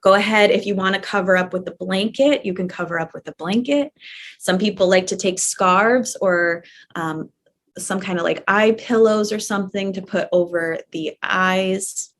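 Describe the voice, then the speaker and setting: A woman leads a guided yoga nidra practice as the teacher. Her manner is gentle and permissive, with soft repetitions. She speaks conversationally at a medium pitch, giving calm instruction. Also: normal speed. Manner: gentle, permissive, repeats phrases softly. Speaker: yoga nidra teacher guiding a live class.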